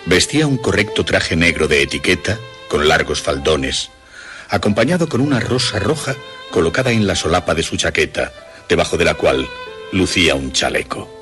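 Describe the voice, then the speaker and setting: A man's voice: low voice, lively intonation, normal speed, a bit bright. A man narrating a scripted suspense story as its storyteller. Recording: music behind the voice. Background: music.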